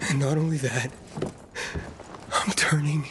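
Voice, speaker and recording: A man's voice: fairly high pitch, fairly even intonation, unhurried, natural voice. Driven young male survivor, man in the role; urgent, strained reads over ambient game noise. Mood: grim. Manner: urgent and strained, shouting in danger. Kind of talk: video game cutscene acting. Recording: game audio with clear ambience.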